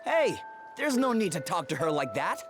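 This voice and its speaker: Young game hero: plain-spoken, earnest, animated delivery, prominent music behind. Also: male voice, high-pitched, moderate pace. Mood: indignant. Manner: casual, earnest. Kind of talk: dramatic video game voice acting.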